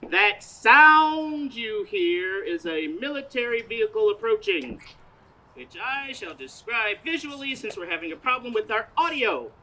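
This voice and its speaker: A woman's voice: a little high, sing-song, unhurried, sharp tone, slight background noise, heavily acted, rough recording. A woman playing an earnest, flustered radio news reporter in a comedic stage radio play, recorded outdoors live. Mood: flustered, grandiose. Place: outdoors, parking lot stage.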